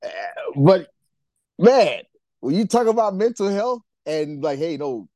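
A man from Nigeria co-hosting a faith-based podcast, talking in an animated, preachy way and acting out the voices he quotes. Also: sits high, unhurried, dry recording, clean studio sound. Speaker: podcast co-host.

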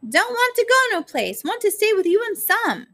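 Woman voicing a high, whiny little boy. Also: expressive, moderate pace, gravelly, a bit bright, exaggerated voice. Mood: tearful pleading.

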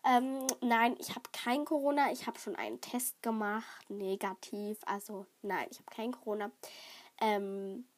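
A woman's voice: on the high side, steady tone, a little slow. A young woman with a cold and a slightly stuffy voice, chatting casually and rambling on her solo podcast with lots of fillers. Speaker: podcast host.

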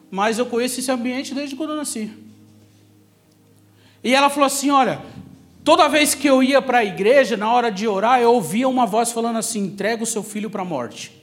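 A man with a Brazilian São Paulo accent preaching a sermon through personal testimony, conversational but emphatic, repeating key phrases for effect. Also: very high-pitched.

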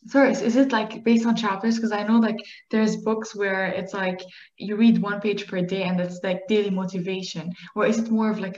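A woman hosts a podcast and asks long, loosely phrased questions, adding encouraging yeahs throughout. Her voice sits at a middle pitch. The recording sounds roomy and dull, like lo-fi audio. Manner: encouraging, frequent backchannels, rambling questions. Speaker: podcast host. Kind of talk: interview questions.

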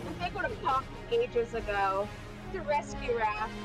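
Female reaction co-host, high and lively, measured pace, blunt casual film commentary. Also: music in the background.

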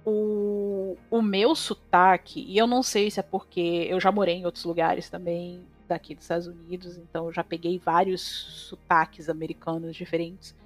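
A woman from Brazil with a Brazilian Portuguese accent, a chef talking as a guest in a casual interview, opinionated and animated, her voice jumping up when she stresses a point. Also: on the low side, moderate pace, few pauses, soft background music. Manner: animated, opinionated, rising sharply when emphatic. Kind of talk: casual conversation.

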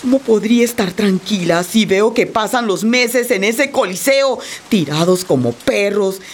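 A woman's voice: fairly high pitch, lightly acted. A woman playing a compassionate, stubborn wife in a radio play, speaking in an earnest, defiant and impassioned way. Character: Ruth, compassionate, stubborn wife defending displaced people. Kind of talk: radio theatre acting. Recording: faint noise, rain behind.

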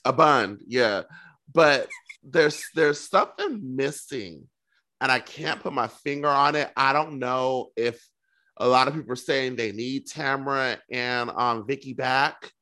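Male co-host, bright and punchy, campy and gossipy, casual conversational delivery. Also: medium pitch, unhurried. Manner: sassy, campy slang. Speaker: podcast co-host. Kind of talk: casual gossipy chat about reality television.

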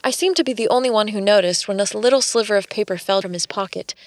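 A woman's voice: mid-range voice, quick pace, no echo, natural voice. An actress playing a wry modern woman who confidingly narrates her own story in a scripted audio drama. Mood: suspicious.

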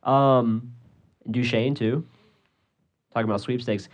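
A man's voice: medium pitch, fairly even intonation, takes it slowly. A man with a cold co-hosting a casual podcast, swearing freely in loose banter. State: sniffly from a cold. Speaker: podcast co-host. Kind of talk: casual chat.

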